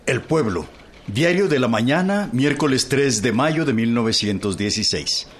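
A man's voice: medium pitch, lively intonation, moderate pace, faint music behind. A man with a Mexican accent formally reading old newspaper reports in a radio docudrama. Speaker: newspaper reader. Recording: clear background noise.

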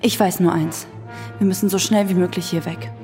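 Actress as worried female confidante, rapid delivery, mellow dark tone, urgent, radio drama. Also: fairly low pitch, steady tone, soft background music, lightly acted. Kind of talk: German radio drama acting.

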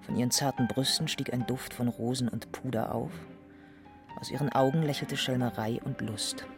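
A man's voice: medium pitch, steady tone, normal speed, over music. A man reading literary narration in a radio play.

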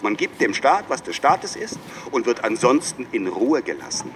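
A man's voice: medium pitch, wildly swooping pitch, moderate pace. A man in a German radio drama playing a middle-aged lawyer who speaks in a pompous, rhetorical, self-important manner. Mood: declaiming. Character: pompous, eloquent lawyer championing old Prussia. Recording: clear background noise in a moving car. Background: vehicle noise.

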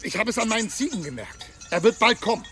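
A woman playing a foreboding goatherd villager in a radio drama, speaking in an insistent, halting, ominous way. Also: on the high side, expressive, a bit bright, faint music behind. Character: foreboding goatherd villager warning of a coming storm. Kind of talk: German radio drama acting.

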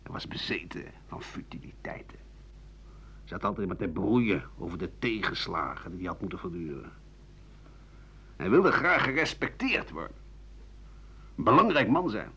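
An older, embittered man of letters in a radio drama monologue. His voice is low and rough-edged, rambling from muttering to sardonic declaiming. The recording is somewhat dull. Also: fairly bright tone, heavily acted. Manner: rambling, bitter, sardonic, with muttering and dry chuckles. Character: embittered ageing French writer-doctor recalling his wretched life. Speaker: actor in a radio play.

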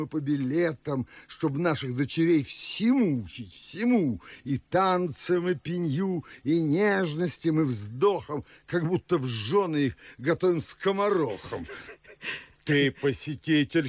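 A man's voice: fairly high pitch, expressive, slow pace, no room sound, exaggerated voice. An actor playing a pompous, suspicious middle-aged father and master in a classic verse radio drama, grumbling and scolding theatrically. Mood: indignant, scolding.

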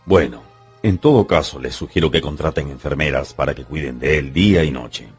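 A grave, formal surgeon in a radio play. His voice is extremely deep and very husky, over faint music. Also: brisk, full character voice. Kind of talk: radio drama acting.

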